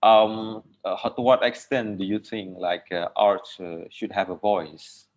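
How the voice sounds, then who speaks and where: Man, Indonesian accent, lowish voice, tentative host, dull lo-fi call audio. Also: fairly even intonation, a little slow. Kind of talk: moderating an online discussion. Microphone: video call.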